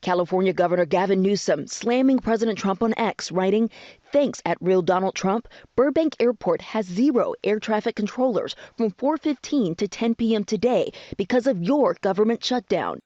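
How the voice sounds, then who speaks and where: A woman's voice: mid-range voice, lively intonation, moderate pace, mellow, no echo. A woman reading scripted news narration. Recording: slightly dull, dry voice-over.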